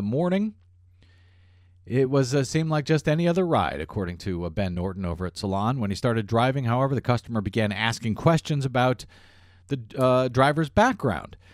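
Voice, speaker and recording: A man's voice: mid-range voice, dry recording, clean studio sound. A man hosting a political talk radio show, delivering a monologue in a sardonic tone with frequent fillers. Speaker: radio host.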